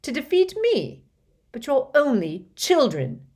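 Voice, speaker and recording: A woman voicing a menacing, pompous male villain who talks in a loud, drawn-out sneer. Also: sits high, sing-song, pitched above the performer's own voice, exaggerated voice. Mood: mocking.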